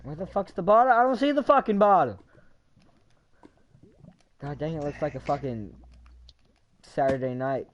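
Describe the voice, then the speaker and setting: A man chatting casually and profanely while playing a game, with sudden loud outbursts. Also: on the high side, normal speed, long pauses, lo-fi sound, slight background noise. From United States. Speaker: gaming video creator. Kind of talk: casual gameplay chat.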